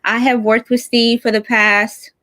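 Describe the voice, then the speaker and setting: A woman's voice: a little high, level tone, a little slow, fairly bright tone, no room sound. Enthusiastic woman hosting, close dry sound. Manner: enthusiastic, effusive. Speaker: online show host. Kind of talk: casual chatty interview.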